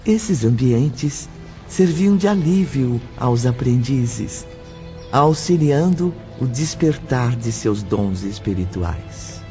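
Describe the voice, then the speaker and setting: A man's voice: medium pitch, expressive, takes it slowly, dark tone. A man reading scripted third-person narration for an audio drama. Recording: dull audio with faint music.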